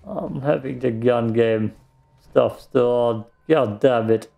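A man's voice: mid-range voice, slow pace, vocal fry, narrow, tinny audio, faint music behind. A man giving casual gameplay commentary, muttering to himself and given to swearing. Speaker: let's play streamer.

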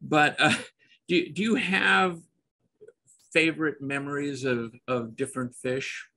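An older man hosting a podcast, chatting in a relaxed way, telling his own anecdotes and asking open questions over a video call with headphones. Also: fairly high pitch, unhurried, rough recording. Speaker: podcast host. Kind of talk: casual interview chat. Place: home studio room, indoors. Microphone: over-ear headphones, video call.

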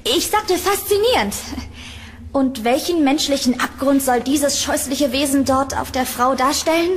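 A woman's voice: high-pitched, unhurried, a little hiss or hum. An actress playing a curious, polite, uneasy young woman tenant in a German horror audio drama, hesitant and slightly sardonic.

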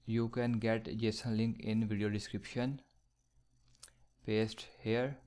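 A man teaching programming in a screencast, slowly dictating code step by step with fillers. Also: fairly low pitch, flat delivery, a little mellow. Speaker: programming tutor. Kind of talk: screencast coding tutorial.

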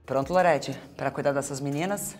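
A woman hosting a reality show, energetic and cheering on the contestants. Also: deep voice, fairly quick. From Brazil. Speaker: show host. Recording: light music underneath.

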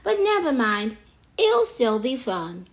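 A woman voicing a young male ape in a children's storybook, excitable, whiny and grumpy, exclaiming as he talks. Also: fairly high pitch, slow pace, exaggerated voice. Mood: grumbling.

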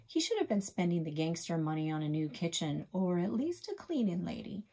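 A middle-aged woman reads a children's chapter book aloud, calm and steady, slipping now and then into soft, breathy passages. Her voice is husky and sits slightly low. She records on a desk webcam in a small office. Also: a little fast. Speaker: librarian reading aloud.

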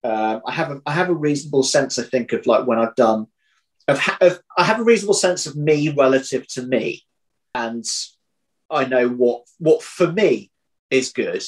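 A man of medium pitch speaks in a casual, thoughtful interview style. He often stops and rewords, working out his ideas aloud over a video call from home. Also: animated. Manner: halting, rephrases as he thinks aloud. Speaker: audio drama writer, interview guest. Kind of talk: casual reflective interview talk. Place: home room, indoors. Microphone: video call, computer at arm's length.

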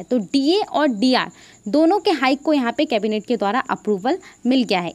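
High-pitched Indian woman explaining the news. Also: no echo. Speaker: presenter of a news explainer channel. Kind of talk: explanatory news narration in Hindi with English terms.

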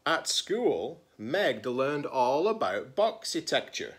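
A man's voice: medium pitch, very animated, takes it slowly, fairly bright tone. A man in his forties, a teacher-librarian, reading a children's picture book aloud expressively, stressing key words and adding casual asides.

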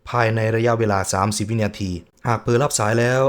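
A man's voice: fairly low pitch, little pitch movement, quick pace, clean tone. A man hosting a reaction video who mutters casual asides and reads on-screen text aloud as he watches.

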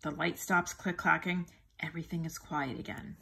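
A woman reading a children's picture book aloud in an expressive teacher's manner, drawing out the sound words. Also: low voice, moderate pace, creaky, slightly rough. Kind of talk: animated children's storybook reading.

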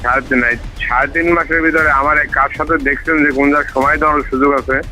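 A Bangladeshi man speaks in a private, recorded phone call. His voice is bright and forceful at an average pitch, with music laid behind it. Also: steady tone, fast talker. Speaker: hospital ward master.

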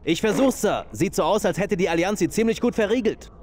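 Game cutscene Marine private: cynical, complaining, fairly high and animated. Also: male voice, fairly quick. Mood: uneasy. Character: grumbling, uneasy young male Marine private. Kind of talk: dubbed video game cutscene acting.

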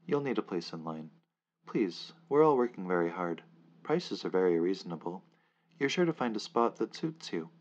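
A man voicing polite, eerie adult men in suits who calmly insist like salesmen. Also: low voice, moderate pace, muffled recording. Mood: politely insistent.

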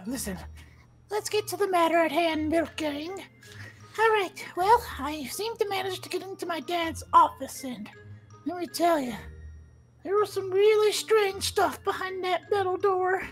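Very high-pitched eager little schoolboy. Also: female voice, lively intonation, unhurried, faint music behind, rough recording. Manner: childlike, pleading, rambling. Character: small, eager, apologetic schoolboy, the principal's son.

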